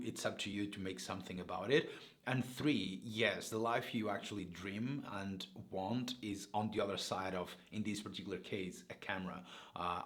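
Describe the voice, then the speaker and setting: A man's voice: lowish voice, a bit bright. Earnest Portuguese-accented man in his thirties. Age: 30s. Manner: earnest, rambling with many fillers and restarts. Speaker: YouTuber. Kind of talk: casual talking-head vlog.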